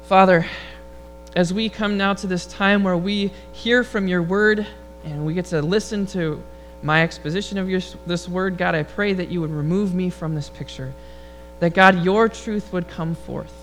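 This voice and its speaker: A man with a high, rather feminine-sounding voice, preaching a relaxed, humorous sermon and leading prayer before a congregation. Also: normal speed, soft background music. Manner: relaxed, storytelling delivery with humor. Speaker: pastor. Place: church sanctuary before a congregation.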